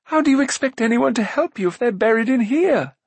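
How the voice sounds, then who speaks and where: A man's voice: very high-pitched, animated, a little fast, vocal fry, slightly rough, no room sound, voice pushed up, full character voice. A man playing a naive, proper young prince in a fantasy audiobook. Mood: puzzled agreement.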